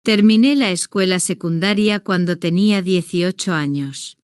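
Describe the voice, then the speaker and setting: Smooth, slow woman narrating for learners. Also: lowish voice, dry recording, studio quality. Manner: slow, deliberate, evenly paced short sentences. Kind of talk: slow scripted first-person story narration for beginners.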